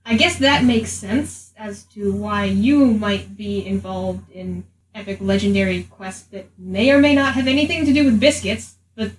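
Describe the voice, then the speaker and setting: A woman's voice: mid-range voice, normal speed, few pauses, close to an everyday voice. A woman playing a cheeky, chatty adventurer in an improvised tabletop game, with a wry, teasing way of talking. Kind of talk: improvised tabletop roleplay in character. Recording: roomy, distant mic, faint noise.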